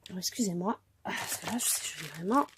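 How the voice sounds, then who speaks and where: A woman with a cold, speaking softly and hoarsely, often dropping to a near whisper as she calmly explains a craft tutorial step by step. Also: fairly low pitch, fairly quick, background noise. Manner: soft-spoken, often near a whisper, hesitant.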